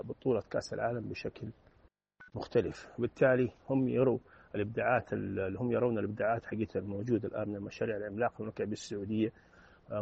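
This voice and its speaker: Gravelly Saudi man, rapid and earnest. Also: on the low side, lo-fi sound. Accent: Saudi Arabic. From Saudi Arabia. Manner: earnest, with frequent drawn-out "aah" fillers. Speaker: interview guest. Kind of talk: formal commentary in an interview.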